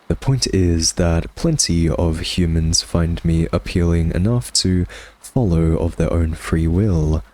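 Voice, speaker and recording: A man's voice: deep voice, a little mellow, heavily acted. A man playing a smug, hungry vampire who teases and taunts sardonically in an intimate ASMR roleplay monologue. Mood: smug, boastful. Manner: sardonic, taunting, drawling, theatrical. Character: smug, teasing, hungry male vampire nemesis.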